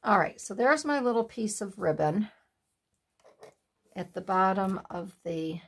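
A woman in her sixties chatting at home while she makes a collage at her craft table, with a breathy, sniffly voice, laughing asides and remarks to herself. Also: medium pitch, lively intonation, a little slow. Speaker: craft channel host. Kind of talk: casual chat while making a collage. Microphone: overhead camera on the desk, hands only in frame.

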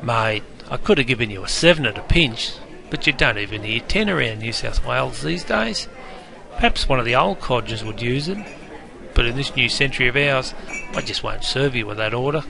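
A man's voice: medium pitch, lively intonation, normal speed, vocal fry. A man playing a cheeky, matey barman in an interactive game, with a broad Australian accent and heavy slang. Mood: teasing. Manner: jokey, teasing, heavy slang. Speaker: bartender guide. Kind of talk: interactive game character patter. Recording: busy crowd noise behind. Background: crowd chatter.

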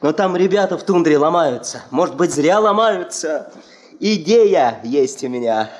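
A man's voice: on the high side, expressive, measured pace, darkish tone. An actor playing an agitated, earnest young male geologist, insistent and urgent, in 1970s radio drama style. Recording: noisy old radio play with clicks.

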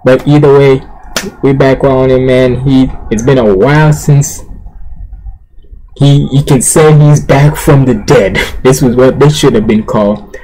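A man's voice: medium pitch, steady tone, measured pace, warm tone, a little hiss or hum, poor audio. A young man hosting a reaction video, talking casually in a loose, rambling, slangy way. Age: young adult. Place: small indoor bedroom.

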